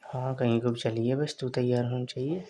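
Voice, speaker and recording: A man's voice: medium pitch, fairly even intonation, normal speed, mellow. A man chatting casually on a phone call, coaxing and repeating his questions. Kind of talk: casual intimate phone chat. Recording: clearly noisy phone call recording.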